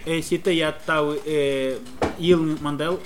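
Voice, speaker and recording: A man interpreting a sermon phrase by phrase, quick and hesitant, with fillers. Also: on the high side, steady tone, background noise.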